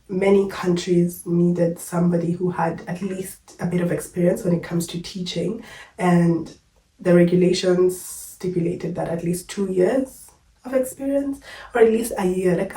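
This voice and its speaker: A woman's voice: lowish voice, dark tone, echoey room, recorded from a distance, poor audio. A young Kenyan woman vlogger, unwell and a little short of breath, telling her story in a candid, chatty way. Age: young adult. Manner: chatty, candid, uses fillers like "like" and "yo". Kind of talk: casual personal storytelling to camera.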